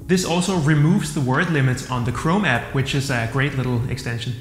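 A man in his thirties with a Danish accent reviewing software to camera in an upbeat, plain-spoken way. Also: mid-range voice, brisk. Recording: roomy hall-like echo with soft music.

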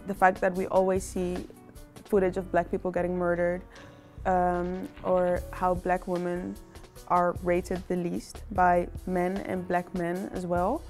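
A young woman speaks with earnest thought in a relaxed interview. Her pitch stays level and even as she talks. Gentle music runs underneath her. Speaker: interviewee, social psychologist. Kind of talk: casual reflective interview talk.

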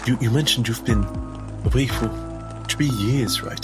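A man playing in a tabletop game, speaking in character in an earnest, halting, thoughtful way. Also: mid-range voice, over loud music. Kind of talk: in-character roleplay dialogue.

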